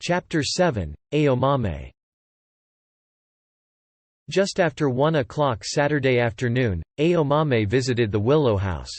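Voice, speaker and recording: A man reads scripted audiobook narration with lively, varied intonation. His voice sits at middle pitch and is recorded dry and close. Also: slow, pauses often, dry recording.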